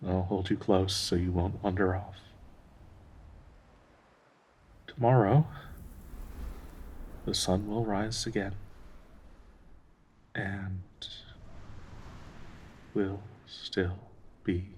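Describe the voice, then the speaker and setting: An actor playing a devoted, grieving male dryad in an intimate audio roleplay, speaking tenderly and haltingly with a husky, breathy voice. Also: low-pitched, fairly even intonation, darkish tone, a little hiss or hum. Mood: tender, weary. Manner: slow, halting, tender pleading with sighs.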